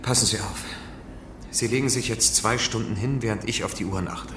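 An actor playing a curt, practical spaceship officer in a radio play, brusque and no-nonsense. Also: male voice, fairly low pitch, a little fast, echoey room, background noise, close to an everyday voice. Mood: firm, practical. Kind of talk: German radio play acting.

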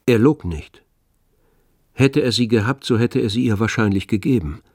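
A man narrating a literary radio drama as its storyteller, composed and measured in his delivery. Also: mid-range voice, a little mellow, breathy edge, no room sound, studio quality. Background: faint music.

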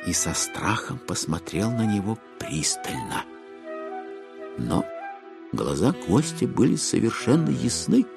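A man narrating a literary audio drama in an expressive, wry storytelling style. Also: lowish voice, gravelly.

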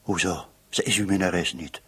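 An actor playing a shrewd, sceptical police commissioner with a husky voice in a radio drama. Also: male voice, low voice, fairly even intonation, moderate pace, pushed and bright, heavily acted. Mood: probing, sceptical. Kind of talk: Dutch-language radio drama dialogue.

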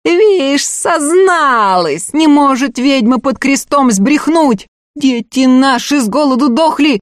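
A woman's voice: high voice, lively intonation, slow pace, no echo, clean studio sound, voice pushed up. A woman voicing a wild peasant woman who shrieks and urges the crowd to violence. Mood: frenzied shrieking.

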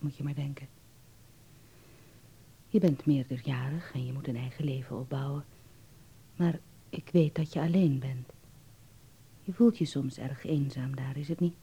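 Anxious mother, very dark breathy voice. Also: deep voice, dull audio, heavily acted. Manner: soft, breathy, worried. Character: tender, anxious mother of a grown son. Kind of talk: radio play letter monologue.

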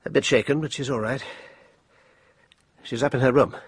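An actor playing a gallant, direct British army major recounting events in a radio drama. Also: male voice, medium pitch, steady tone, normal speed, breathy edge, no echo, natural voice. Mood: sombre. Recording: noisy, with clicks.

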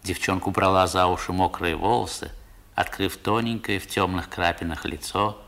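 An actor playing a grown man recalling his boyhood, narrating a 1960s radio drama in a measured, literary way with dramatic pauses. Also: deep voice, fairly even intonation, vocal fry, fairly bright tone. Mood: wistful, descriptive.

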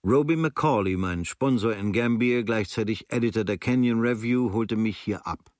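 A low-pitched actor playing a young German writer reads his travel diary for a radio play. He is observant and dryly critical, with sober, measured, clipped phrasing. The sound is close and dry. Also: darkish tone, dry recording, close to an everyday voice. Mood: detached, observant. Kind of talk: literary reading of a travel diary.

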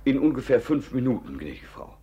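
An actor in a 1950s radio play voicing a formal, discreet, somewhat hesitant manservant. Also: male voice, medium pitch, sing-song, fairly quick, dark tone, lo-fi sound, lightly acted. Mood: politely reluctant.

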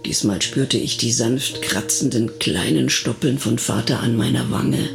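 An actor with a low-lying voice playing an elderly person recalling childhood in a radio drama, measured and reflective. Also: male voice, creaky. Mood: wistful. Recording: plucked guitar music behind.

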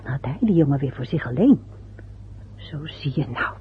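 A woman's voice: deep voice, lively intonation, moderate pace, slight background noise. An actress playing a middle-aged mother who chatters and mutters to herself about her family. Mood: musing. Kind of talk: radio play acting. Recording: dull lo-fi old radio recording.

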